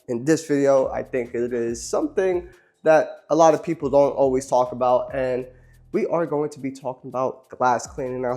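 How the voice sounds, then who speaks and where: A man with a cold who sounds nasal, hosting a casual product demonstration beside a car and explaining things in a relaxed, chatty way with frequent ums and uhs. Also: medium pitch. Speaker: host. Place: beside a car, cleaning its windshield.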